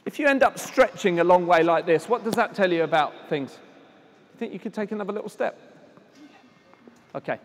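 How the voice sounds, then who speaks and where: A man's voice: sits high, lively intonation. Man coaching kids in an echoey hall. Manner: encouraging, brisk instructions to children; projects his voice loudly. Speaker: tennis coach. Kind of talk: on-court coaching demonstration. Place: indoor tennis hall at a conference.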